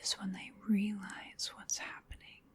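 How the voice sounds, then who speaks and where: A woman playing an ancient vampire in an ASMR roleplay, whispering breathily in a slow, genteel, soothing manner with quiet menace. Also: mid-range voice, completely flat delivery, breathy edge. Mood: coaxing, quietly menacing. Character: ancient female vampire, sinister yet coaxing and polite.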